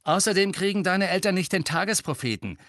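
A man's voice: high voice, animated, fairly quick, a bit bright, no echo, studio quality, pitched above the performer's own voice. A man voicing an indignant, hot-tempered schoolboy in an audiobook, snapping scornfully. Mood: snappy. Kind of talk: audiobook character voice.

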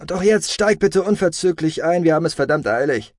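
A man acts out a brash, commanding man in an audiobook story. He is impatient and sardonic, stern yet smugly reassuring, and his pitch moves widely. The sound is dry and close. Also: expressive, brisk, no echo, lightly acted. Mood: urgent, persuasive.

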